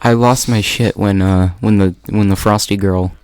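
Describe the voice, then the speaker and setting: A man's voice: on the low side, fairly even intonation, moderate pace, a little mellow, clean tone, no room sound. A young man chatting casually as a podcast co-host, joking and swearing freely. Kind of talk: casual group chat.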